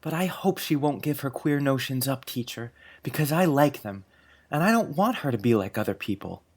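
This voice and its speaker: A sincere young schoolboy, acted by a man with a medium-pitched voice in a dramatised storybook. He is affectionate and chatty, confiding in his teacher. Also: lively intonation, moderate pace. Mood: earnest, pleased. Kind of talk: dramatised audiobook acting.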